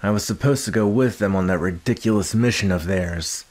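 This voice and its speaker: A young man playing a gruff, guarded but secretly caring villain in an ASMR roleplay, speaking haltingly with sighs and grudging tenderness. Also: fairly low pitch, a little mellow, natural voice. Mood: annoyed. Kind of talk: ASMR roleplay addressed to the listener.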